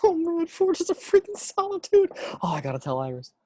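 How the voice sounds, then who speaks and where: A man's voice: extremely high pitch, wildly swooping pitch, brisk, mellow, full character voice. A man playing an eager, chatty superhero speedster in a script table read, with a nervous, informal way of talking. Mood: excited, anxious.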